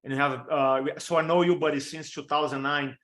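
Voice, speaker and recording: A man in his fifties from Brazil with a Brazilian Portuguese accent, giving an energetic storytelling training talk over a video call and stacking quick lists of examples. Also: fairly high pitch. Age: 50s. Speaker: motivational speaker and storytelling coach.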